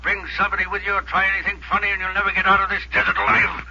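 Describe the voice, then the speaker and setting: A man's voice: high-pitched, steady tone, rapid-fire, noisy background. A menacing desert gunslinger barks terse threats down a telephone line. His voice is filtered and dull, in the manner of 1950s radio drama on an old lo-fi recording. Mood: threatening, commanding. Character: menacing male gunslinger killer phoning in threats.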